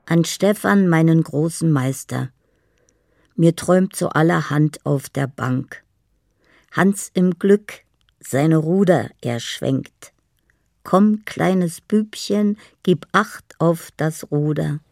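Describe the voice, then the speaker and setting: An actress playing a dreamy older woman lost in poetic fantasy and memories in a radio drama, speaking slowly and haltingly. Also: low voice, gravelly, darkish tone, dry recording, clean studio sound, exaggerated voice. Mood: dreamy, reminiscing. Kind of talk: radio drama monologue, reading out love letters.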